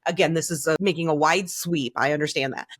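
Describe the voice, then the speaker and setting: A woman's voice: low-pitched, fast. A woman co-hosting a podcast, talking casually and candidly, giving opinions and jumping in with remarks. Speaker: podcast co-host. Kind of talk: casual conversational podcast chat.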